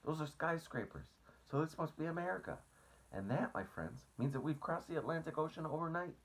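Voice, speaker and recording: Man reading as an eager husky-voiced boy. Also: a little high, animated, a little fast, voice pushed up. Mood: excited. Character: eager, clever young boy adventurer.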